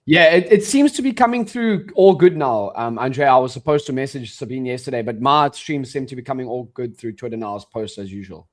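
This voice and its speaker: A man with a South African accent, chatting casually as co-host of a live trading stream, relaxed and saying bro a lot. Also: mid-range voice, fairly quick, few pauses. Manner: relaxed, says bro and yeah often.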